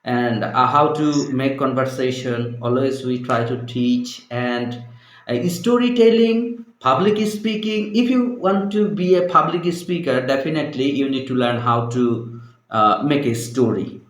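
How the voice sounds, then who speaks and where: Slow-talking Bangladeshi man, echoey room. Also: mid-range voice. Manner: halting, many fillers. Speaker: English trainer. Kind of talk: unscripted conversation.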